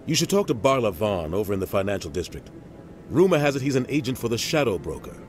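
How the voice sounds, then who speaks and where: A man's voice: on the low side, very animated, quick pace. A man playing a middle-aged navy captain in a video game, grave, embittered and emphatic. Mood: matter-of-fact. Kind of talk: video game cutscene acting. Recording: music behind game dialogue.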